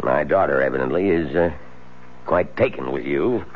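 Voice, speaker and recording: An actor playing an authoritative older professional man in a 1970s radio drama, deliberate and persuasive, with pauses. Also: very deep voice. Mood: firm, persuasive. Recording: muffled, faintly noisy 1970s radio recording.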